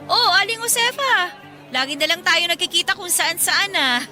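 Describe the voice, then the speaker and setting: A woman's voice: extremely high pitch, lively intonation, normal speed, sharp tone, soft background music, full character voice. An actress playing a sassy, teasing young woman with a Filipino accent in a radio drama. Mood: cheerful. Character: sassy single young woman, teasing but polite to elders. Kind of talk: Tagalog radio drama acting.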